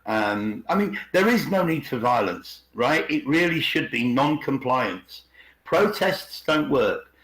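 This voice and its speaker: A man's voice: mid-range voice, a bit bright. A middle-aged man in casual, opinionated discussion on an online call, rephrasing himself emphatically as he goes. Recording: roomy, echoey online call.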